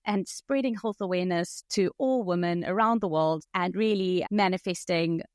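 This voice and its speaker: A woman's voice: on the low side, normal speed, clear, resonant tone, dry recording. A South African woman in her early thirties speaks as a podcast co-host. She is chatty and articulate, with an everyday mid-range voice. The sound is dry and close, with very little room to it. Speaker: podcast co-host, medical doctor. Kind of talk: conversational podcast introduction.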